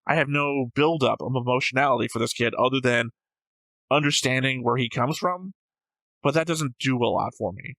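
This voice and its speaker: A man's voice: medium pitch, normal speed, creaky, fairly bright tone, no echo, poor audio. A man talking casually as a reaction show host, opinionated and analytical, with a blunt, flippant way of speaking.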